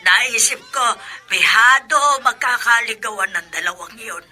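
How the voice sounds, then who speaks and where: A woman's voice: a little high, a little slow, bright, forceful tone, full character voice. An actress playing an affectionate, chatty older grandmother in a radio drama. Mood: curious, amused. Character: fond, lonely provincial grandmother on the phone.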